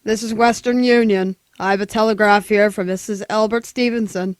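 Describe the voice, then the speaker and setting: A woman's voice: mid-range voice, steady tone, moderate pace, no room sound, natural voice. A woman playing a businesslike telegraph clerk with a formal, detached delivery in a 1940s-style radio play. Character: businesslike, impersonal telegraph clerk reading out messages.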